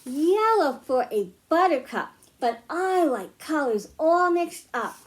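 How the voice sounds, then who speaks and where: A woman with an American accent and a high voice leads a storytime for young children. She takes her time, speaking very slowly in simple phrases pitched at little listeners, as a children's librarian does. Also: big pitch swings. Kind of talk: picture-book read-aloud and simple teaching for young children.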